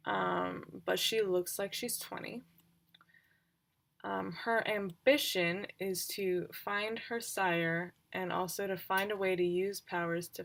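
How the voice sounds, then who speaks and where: Casual woman with gravelly vocal fry. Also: mid-range voice. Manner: informal, halting, thinking aloud. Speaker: game master introducing player characters. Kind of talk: casual recap of roleplaying characters on a livestream.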